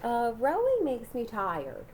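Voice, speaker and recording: A woman's voice: a little high, wildly swooping pitch, takes it slowly, a little mellow, slight background noise, pitched above the performer's own voice, heavily acted. A woman voicing a nervous, easily awed little boy in a children's storybook, asking and exclaiming anxiously. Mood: nervous, hesitant. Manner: hesitant, anxious questioning and exclaiming. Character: nervous, awestruck little boy who fears dinosaurs.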